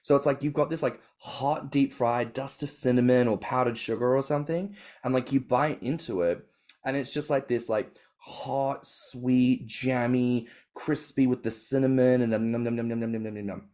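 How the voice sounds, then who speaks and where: An Australian man hosting a casual podcast. He gushes about things with lots of fillers and sounds excited. His voice sits at a middle pitch. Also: normal speed. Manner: enthusiastic, animated, full of "like". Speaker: podcast host.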